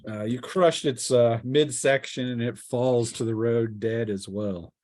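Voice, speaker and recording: Male game master, medium pitch, improvised tabletop narration, halting delivery with frequent restarts. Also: steady tone, darkish tone. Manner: halting, restarting descriptions mid-sentence.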